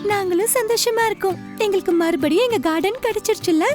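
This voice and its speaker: An eager small child, voiced by a woman speaks in a tiny, extremely high voice. It suits a children's cartoon story and has clear music underneath. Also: fast talker. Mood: delighted. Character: happy small child who loves the garden. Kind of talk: children's animated story dialogue. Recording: music behind the voice.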